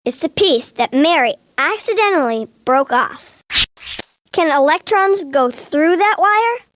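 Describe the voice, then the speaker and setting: Earnest little boy lawyer in a cartoon, high voice, eager questions, dry sound. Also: lively intonation, unhurried, a bit bright, dry recording. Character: earnest young boy assistant lawyer named Tim. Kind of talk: animated educational courtroom dialogue.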